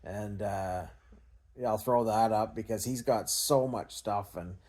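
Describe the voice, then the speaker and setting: Low-voiced Canadian man rambling casually. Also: measured pace, a little mellow, slightly rough. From Canada, small-town Ontario. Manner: rambling, hesitant, self-effacing. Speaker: scrap recycling vlogger. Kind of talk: casual unscripted vlog talk.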